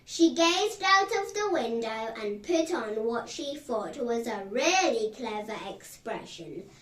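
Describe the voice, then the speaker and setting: A woman reading a children's story aloud in a careful, deliberate way, recorded at a distance in a roomy space. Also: a little high, animated, a bit bright. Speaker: storybook reader. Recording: roomy echo, distant from the mic.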